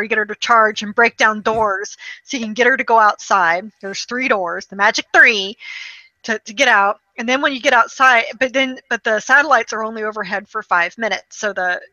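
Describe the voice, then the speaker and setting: Woman, higher bright voice, casual gaming chat, animated storyteller, loose swearing, dry slightly dull recording. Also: dry recording. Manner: animated storytelling with acted-out quotes. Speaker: podcast host. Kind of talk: casual chat about video games.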